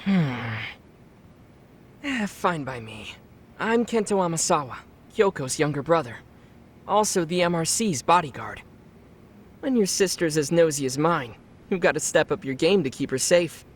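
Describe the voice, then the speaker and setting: A woman's voice: deep voice, animated, normal speed, long pauses, a little hiss or hum. A woman playing a tough, protective teenage younger brother in a video game, blunt and laid-back. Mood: cool, guarded. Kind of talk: English video game voice acting.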